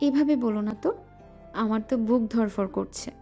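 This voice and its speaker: A woman's voice: a little high, a little fast, mellow, close to an everyday voice. A female voice actor playing a shy, playful young wife who scolds her husband coyly in an audio drama. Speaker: voice actress. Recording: music bed underneath.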